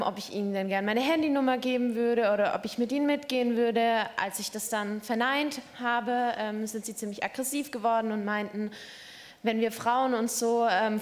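A woman in her twenties tells her story hesitantly, often pausing on fillers. Her voice sits a little high. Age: 20s. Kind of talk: spoken personal testimony.

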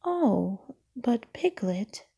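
A woman voicing a gentle, simple male teddy bear from a storybook, speaking softly and sadly in a whisper. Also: on the high side, big pitch swings, extremely slow, creaky, breathy edge, exaggerated voice. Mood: wistful. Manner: soft, sad, whispery.

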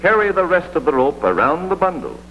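Vintage American military film narrator, animated pitch. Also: male voice, brisk. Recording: dull vintage film soundtrack with hum and hiss.

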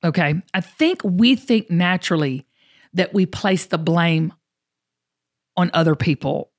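A woman's voice: fairly low pitch, measured pace. A woman with a Southern US accent hosting a motivational talk podcast, emphatic and folksy, repeating phrases to drive them home. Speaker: show host.

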